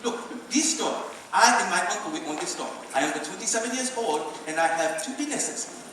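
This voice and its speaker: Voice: medium pitch, lively intonation, brisk, far from the mic, natural voice. An actor on stage playing an exasperated immigrant store owner in their twenties, scolding in an emphatic, mocking, repetitive way. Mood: lecturing. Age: 20s. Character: exasperated 27-year-old immigrant store owner, scornful and lecturing. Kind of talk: stage play acting. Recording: echoey stage recording from afar, noisy.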